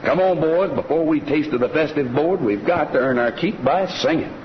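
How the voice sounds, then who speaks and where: An American man playing a friendly, folksy cowboy ranch host who pitches to listeners in the style of a 1940s radio western. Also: lively intonation, moderate pace, natural voice. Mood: hearty, folksy. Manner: folksy, hearty. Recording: dull lo-fi old radio recording. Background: music.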